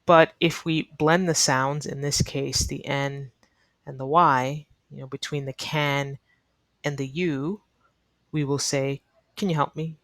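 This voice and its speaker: A woman's voice: very deep voice, fairly even intonation, slow, a bit bright, no room sound. A woman teaching an online English lesson in a neutral American accent, explaining earnestly to learners and repeating key advice for emphasis. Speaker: ESL teacher.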